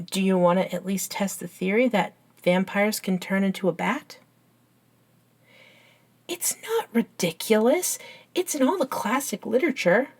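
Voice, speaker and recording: A woman playing an earnest, bookish young best friend in an ASMR audio roleplay, soft-spoken and hesitant, with pauses. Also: medium pitch. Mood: defensive. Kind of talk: ASMR roleplay acting, one side of a dialogue.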